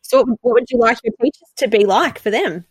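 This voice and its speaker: A woman's voice: a little high, brisk, slightly rough, no room sound, low-quality recording. A woman hosting a casual interview, listening closely and affirming her guest. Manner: attentive, affirming, frequent short backchannels. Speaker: interview host.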